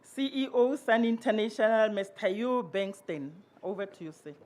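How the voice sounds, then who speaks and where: A man emcees a formal ceremony, moving between Setswana and English as he hands over to each speaker. His voice is high for a man. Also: lively intonation, moderate pace, a little hiss or hum. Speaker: program director of a ceremony. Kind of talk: ceremony MC announcements.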